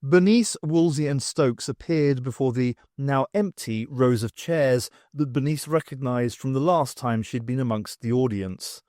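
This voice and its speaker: A man reading a scripted story as an audiobook narrator, with expressive delivery. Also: medium pitch, measured pace, few pauses, dry recording, clean studio sound.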